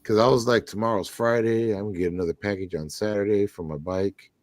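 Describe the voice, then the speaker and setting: A man's voice: lowish voice, steady tone, fast talker, no echo. A man hosting a casual community talk show, congested and losing his voice, laid-back and laughing easily. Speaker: show host. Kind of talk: casual chat.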